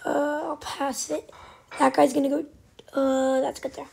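A woman with a cold giving casual, excitable commentary while playing a game, sometimes dropping to a whisper. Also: high-pitched, unhurried, vocal fry. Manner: chatty, excitable, trailing off into whispers. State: has a cold. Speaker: gaming channel host. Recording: faint background noise.

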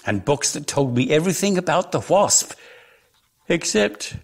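A man reads lyrical prose as a theatrical storyteller. His voice is gravelly and husky, and it swings expressively through its range at a slow, measured pace. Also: fairly high pitch, very animated. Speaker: reader of a dramatic story.

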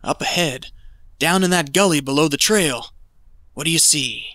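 A man's voice: on the high side, normal speed, bright, forceful tone, no room sound, studio quality. An actor playing a cold, scheming male gold prospector in an audio drama, talking in a folksy, ominous drawl. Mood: alert.

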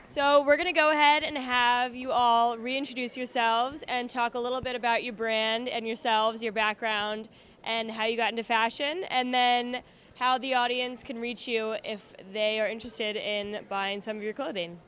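A woman's voice: on the high side, steady tone, normal speed, fairly bright tone, a little hiss or hum. A woman hosting a fashion press junket, upbeat and welcoming. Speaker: event host.

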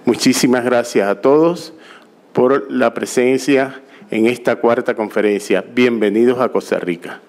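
A middle-aged man at an auditorium podium giving a formal conference welcome, speaking slowly with restarts and self-corrections. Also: medium pitch, a little mellow. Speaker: conference organizer. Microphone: podium microphone.

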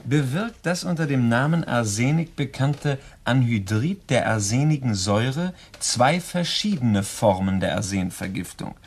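Prying gentleman guest, rough-edged voice, pointed, pedantic, dry delivery, radio mystery. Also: medium pitch, animated, unhurried, hardly any pauses. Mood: needling. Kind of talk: crime radio play acting.

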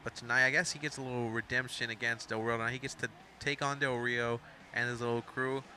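A young man calls a wrestling game in a loose, rambling play-by-play, stopping to correct himself and toss in asides. His voice is very bright and sharp, over a faint hiss of noise. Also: mid-range voice, flat delivery, brisk. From Mexican. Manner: rambling, chatty, self-interrupting with asides. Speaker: fan commentator of a wrestling video game storyline. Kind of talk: casual play-by-play commentary.

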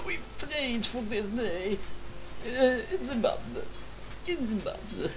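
A woman's voice: a little high, expressive, takes it slowly, rough recording. A woman playing a video game, chatting in a goofy, mock-dramatic way with her tools as if they were old friends. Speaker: gaming YouTuber. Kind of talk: comedic let's play commentary.

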